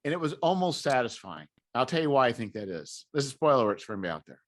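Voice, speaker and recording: A man's voice: mid-range voice, big pitch swings, very fast. Opinionated man explaining his take casually. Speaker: podcast speaker discussing film franchises. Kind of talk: casual chat.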